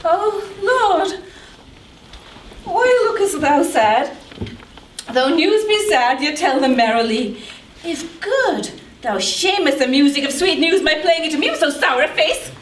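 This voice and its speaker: A woman voicing an eager young girl in love who coaxes and pleads for news. Her voice is very high and slow. It sounds distant in a roomy, echoing space with faint noise. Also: lively intonation. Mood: coaxing. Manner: pleading, coaxing, exasperated. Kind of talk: stage acting of verse drama. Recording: echoey room from a distance.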